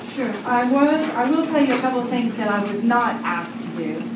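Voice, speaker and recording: A woman in her sixties with a loud voice presents a survey report to a board in a formal tone, with many fillers. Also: on the high side, lively intonation, normal speed. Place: boardroom meeting. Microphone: room mic from a distance. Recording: echoey room, distant, noisy.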